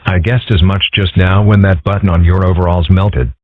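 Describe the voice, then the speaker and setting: Low, husky man as a calm cartoon friend. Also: moderate pace. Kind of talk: scripted comic-strip dub. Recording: muffled, phone-like narrow band.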